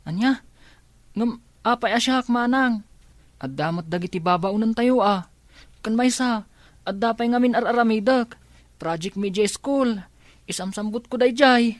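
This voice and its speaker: Woman as put-upon little sibling in radio drama, kinda high voice, meek n whiny, dry sound. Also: unhurried, long pauses, no room sound, lightly acted. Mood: plaintive, submissive. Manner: plaintive, submissive. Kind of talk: Ilocano radio drama acting.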